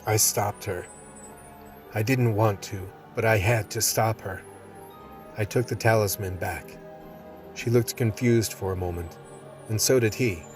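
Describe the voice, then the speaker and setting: A man's voice: lowish voice, unhurried, long pauses, soft background music, natural voice. A man playing a tough survivor who narrates his fight with vampires in a first-person radio play. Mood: grim, steady narration.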